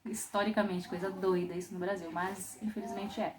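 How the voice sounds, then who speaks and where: A woman from Brazil teaching a popular singing class, explaining in a thoughtful way with frequent pauses and restarts. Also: mid-range voice, flat delivery, a little hiss or hum. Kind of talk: online music lesson.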